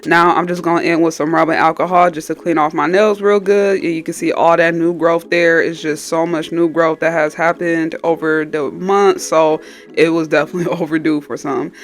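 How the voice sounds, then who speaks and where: A woman's voice: low voice, flat delivery, moderate pace. A woman nail technician with a stuffy, slightly nasal voice from a cold, casually explaining each step in a tutorial voice-over. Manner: chatty, explains each step as she goes. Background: faint background music.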